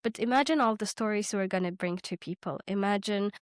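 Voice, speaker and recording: A woman's voice: mid-range voice, brisk, no room sound, clean studio sound. A young woman co-hosting a podcast, playful and upbeat, laughing often as she chats. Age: young adult. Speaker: podcast co-host. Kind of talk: casual interview chat.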